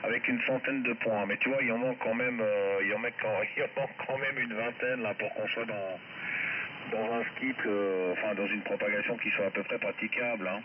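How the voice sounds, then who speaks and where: A man's voice: fairly low pitch, fairly even intonation, brisk. A man with a Swiss French accent chatting casually and ramblingly as an amateur radio operator over a shortwave transmission. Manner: rambling, conversational. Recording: muffled shortwave radio with loud static. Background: loud radio static noise.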